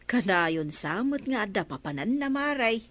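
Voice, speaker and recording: An actress with an Ilocano accent playing a chatty, inquisitive village shopkeeper in a comedy radio drama. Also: female voice, mid-range voice, very animated, moderate pace, a bit bright, no room sound.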